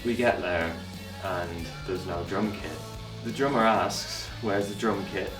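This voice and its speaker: Deep-voiced, relaxed 22-year-old man. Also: measured pace. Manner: relaxed, hesitant, with fillers. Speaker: band's bass player. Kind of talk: documentary interview. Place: band practice room. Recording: echoey room, far from mic, music behind.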